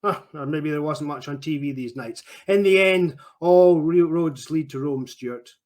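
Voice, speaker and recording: A man's voice: fairly high pitch, measured pace, a little mellow, close to an everyday voice. A man voicing a bitter male killer who sneers and rants in a mocking way. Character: bitter male killer ranting in a sunken wreck.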